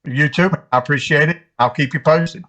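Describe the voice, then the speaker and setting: An American man from North Carolina speaks as a podcast guest. His pitch is a bit higher than average for a man. The delivery is casual, conversational interview chat. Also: normal speed, pushed and bright. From North Carolina, United States. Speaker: podcast guest, tournament angler.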